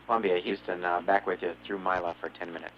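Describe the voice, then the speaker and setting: Flat, deep-voiced ground controller man. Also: fast talker, background noise. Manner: brief, procedural. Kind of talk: radio exchange with spacecraft. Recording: dull radio transmission.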